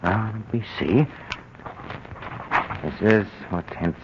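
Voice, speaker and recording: An actor playing a nervous, resentful husband in a 1940s radio drama. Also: male voice, on the low side, takes it slowly. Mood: grim. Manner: nervous, exasperated. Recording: muffled hissy old radio broadcast.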